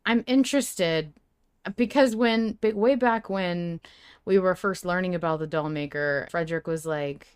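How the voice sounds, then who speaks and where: Woman, co-host, slightly low pitch, clean resonant tone, casual discussion, exclamatory, sweary. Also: measured pace, smooth. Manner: animated, exclamatory, freely swearing. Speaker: podcast co-host.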